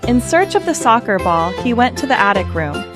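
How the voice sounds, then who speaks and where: Female children's storyteller, higher-pitched, expressive and unhurried, over prominent jingly children's music. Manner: expressive, simple phrasing addressed to children. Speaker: children's storybook reader and channel host. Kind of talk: read-aloud storytelling for young children.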